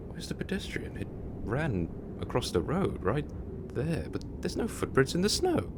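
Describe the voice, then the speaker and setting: A man playing a fretful, sardonic gentleman in an audio drama, a reluctant companion who complains drily and formally. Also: a little high, huge pitch swings, moderate pace, noisy background. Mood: alarmed. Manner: dry, complaining, formal wording.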